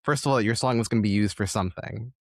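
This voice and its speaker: Lower-voiced man hosting an interview. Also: rapid-fire, darkish tone, no room sound, studio quality. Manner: admiring, reflective, affirming. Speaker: podcast host interviewer. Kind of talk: conversational interview.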